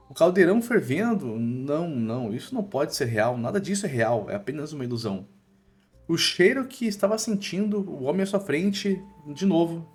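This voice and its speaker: A Brazilian man narrating a scripted comic recap in a colloquial, dramatic storytelling manner. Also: fairly high pitch. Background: music.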